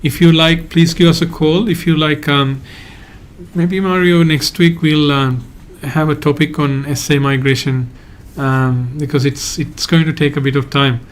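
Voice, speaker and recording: Sri guy hosting a live q&a, kinda high voice, pretty monotone, lots of pauses. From Sri Lanka. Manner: halting, hedges often, reads out viewer questions. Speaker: migration agent hosting a live Q&A. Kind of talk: live-stream Q&A, answering viewer questions.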